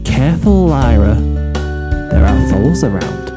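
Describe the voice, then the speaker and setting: A man's voice: mid-range voice, very animated, unhurried. A man voicing an affectionate mare pony who is playfully dramatic and teasing, in a storybook reading. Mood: playful warning. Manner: overdramatic, teasing. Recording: soft guitar music behind.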